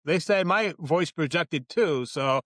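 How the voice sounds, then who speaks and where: A man's voice: a little high, slow, fairly bright tone, no room sound, studio quality. A man, a journalist, talking earnestly and reflectively in a conversational interview, often restarting his sentences.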